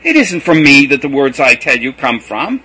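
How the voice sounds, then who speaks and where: A man voicing Jesus as a gentle teacher saying farewell to his students, earnest and measured. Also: medium pitch, animated, slightly rough, full character voice, poor audio. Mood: impassioned. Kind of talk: reading a gospel aloud.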